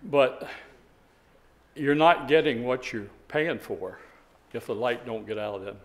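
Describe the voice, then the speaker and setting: A man's voice: medium pitch, a little slow. An older man, a local resident, giving a rambling, folksy public comment at a town council meeting. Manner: folksy, rambling, chuckling asides.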